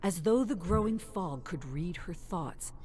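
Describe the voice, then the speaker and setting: Female narrator, notably deep register, dramatic scripted storytelling at a measured delivery, faint music bed. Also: animated.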